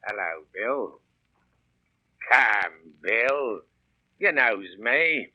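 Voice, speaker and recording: An actor playing a sly pirate shipmate, mock-friendly and menacing, in 1930s radio drama style. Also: male voice, lowish voice, lively intonation, very slow, heavily acted. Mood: falsely friendly. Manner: wheedling, falsely affectionate. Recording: muffled old 1938 radio recording.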